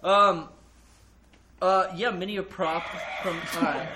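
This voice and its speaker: A man co-hosting a podcast, playful and quick to laugh. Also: high-pitched, steady tone, noisy background. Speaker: podcast co-host. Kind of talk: joking banter with friends.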